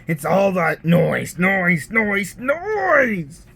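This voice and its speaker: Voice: mid-range voice, big pitch swings, very slow, fairly bright tone, pitched above the performer's own voice, full character voice. A narrator voicing a scheming, bad-tempered old storybook monster who snarls and growls his lines. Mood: irritated, loud. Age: older. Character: sneering, scheming, noise-hating old Christmas-stealing monster. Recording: clearly noisy background.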